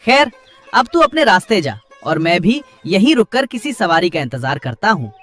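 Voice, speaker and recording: A humble boatman from a cartoon tale, acted by a man, speaks in a high, very lively voice. He is hard-working and kind, and lectures plainly. Soft music plays behind him. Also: normal speed. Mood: admonishing. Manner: plain-spoken, admonishing. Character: kind, hard-working village boatman, grumbling but humane. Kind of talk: dramatised cartoon story dialogue.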